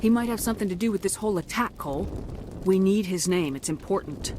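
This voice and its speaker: An actress playing a firm space soldier in a video game, speaking directly and with authority. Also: female voice, medium pitch, fast, noisy background, close to an everyday voice. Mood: firm, questioning. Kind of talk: dramatic video game acting.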